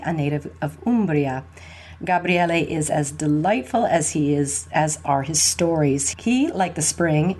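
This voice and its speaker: A woman hosts a language-learning podcast with an upbeat, scripted delivery. Her voice is slightly husky and sits a bit low. She slips Italian phrases into her English. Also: a little mellow. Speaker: podcast host.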